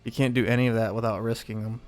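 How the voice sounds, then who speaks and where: A man's voice: mid-range voice, little pitch movement, fast talker, faint music behind. A man streaming a video game live, chatting casually with viewers, rambling with lots of fillers as he loots and sorts gear.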